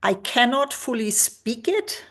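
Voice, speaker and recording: A woman's voice: fairly high pitch, big pitch swings, a little slow, fairly bright tone. A woman from Germany, a career expert answering interview questions over a video call, talking freely with many fillers.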